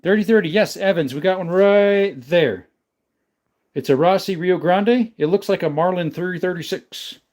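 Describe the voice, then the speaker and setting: A man hosting a casual livestream, reading and answering viewer chat questions in a relaxed, rambling way and thanking viewers by name. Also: high-pitched, moderate pace. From not from the American South. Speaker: livestream host.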